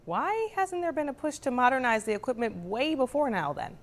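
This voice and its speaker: A woman's voice: high voice, expressive, brisk. A woman with an American accent, working as a news anchor and interviewing a guest on a broadcast.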